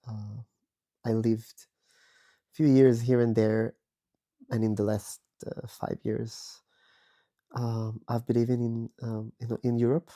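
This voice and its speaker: A man in his thirties from Israel, hoarse and raspy from a virus, reflecting slowly and candidly from his bed as a podcast host. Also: medium pitch, flat delivery, mellow. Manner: slow, thoughtful, candid, with many pauses. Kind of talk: personal reflective monologue. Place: bedroom, recording from bed.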